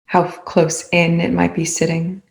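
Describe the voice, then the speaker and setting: A woman leading a guided meditation in slow, measured phrases with pauses between them. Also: fairly low pitch, monotone, gravelly.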